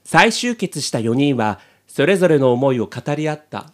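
A man's voice: a little high, very animated, moderate pace, faint music behind. A man hosting a podcast and reading scripted narration as its presenter.

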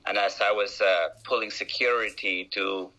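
A man in his forties from Peru with a Peruvian accent, a guest telling his life story in an interview, with frequent pauses and restarts. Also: lowish voice, fairly even intonation, bright, forceful tone, low-quality recording. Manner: hesitant, many restarts and uh fillers.

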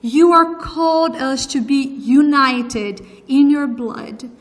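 A woman with a Brazilian Portuguese accent preaches as a guest, earnest and unhurried, often pausing on fillers. Her voice sits high, and her words come slowly. A long reverb trails after each phrase. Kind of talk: sermon.

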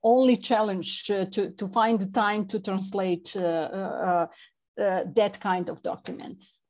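A Serbian woman answers interview questions in English, her second language, pausing often with fillers and restarts. She speaks slowly. Her voice is soft and mellow, never sharp. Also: mid-range voice, fairly even intonation. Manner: halting, many fillers and restarts. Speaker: interview guest, chapter representative. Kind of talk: non-native conversational English interview.

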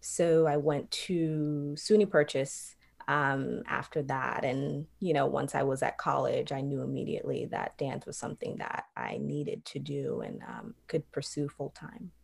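A young woman from Trinidad talks about her path into dance, her voice low and gravelly with vocal fry. She answers reflectively, with frequent fillers and pauses. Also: lively intonation. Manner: reflective, many ums and you-knows. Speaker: professional dancer, guest. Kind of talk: conversational interview answers.

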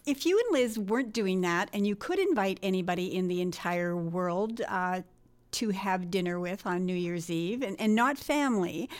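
A woman's voice: mid-range voice, lively intonation, normal speed. A woman hosting a radio interview, playful and teasing, joking with her guest. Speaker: radio host.

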